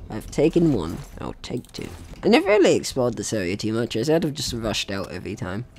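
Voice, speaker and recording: A man's voice: medium pitch, wildly swooping pitch, a little fast, background noise. A man hosting a casual let's-play, talking playfully to the game's characters and swearing now and then. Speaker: gaming host.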